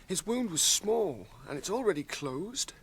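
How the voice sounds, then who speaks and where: Swooping, excitable rustic hobbit servant. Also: male voice, high voice, unhurried, creaky, slight background noise, heavily acted. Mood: worried, protesting. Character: humble, anxious, loyal hobbit manservant. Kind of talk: radio drama acting.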